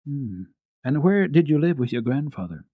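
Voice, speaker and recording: Man as a kindly, husky storybook doctor. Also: a little high, sing-song, no echo, pitched above the performer's own voice. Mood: probing. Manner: gentle, measured, reassuring questioning. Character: kindly male family doctor, calm, soothing, quietly probing.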